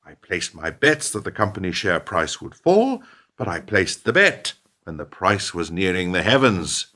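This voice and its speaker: Ruthless middle-aged businessman for radio play, male actor, smug and speechifying, very animated delivery, sharp-edged tone. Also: low voice, moderate pace, full character voice. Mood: smug, lecturing. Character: ruthless, self-justifying businessman betraying his lifelong partner.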